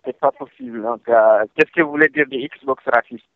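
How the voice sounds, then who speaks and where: A man's voice: a little high, very animated, normal speed, vocal fry, no room sound. A man working as a customer support agent, talking on the telephone in a polite, repetitive, reassuring way. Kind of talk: customer service phone call. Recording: narrow tinny phone line, dry.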